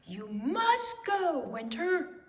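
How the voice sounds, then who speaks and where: A woman voicing an anxious, indignant male owl in a children's storybook, easily worked up, with exclamatory, drawn-out words. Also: high voice, sing-song, long reverb tail, pitched above the performer's own voice, heavily acted. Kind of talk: children's storybook read-aloud.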